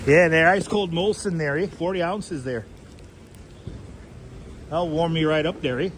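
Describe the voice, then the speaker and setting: A man's voice: fairly high pitch, a little slow, a little hiss or hum, lightly acted. A man doing a mock Canadian beer guy. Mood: joking. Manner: tags every line with "eh".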